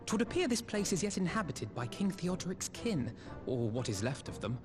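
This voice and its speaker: An actor playing a refined scholarly adventurer in a fantasy game, formal, articulate and archaic in phrasing. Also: male voice, on the high side, very animated, moderate pace, over music. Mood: composed, curious.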